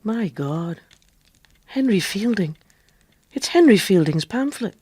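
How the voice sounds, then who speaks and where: A woman's voice: medium pitch, expressive, slow pace, darkish tone, slightly rough. A woman acting in a period radio drama as a pompous, boastful impostor who passes herself off as a male doctor. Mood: shocked, indignant.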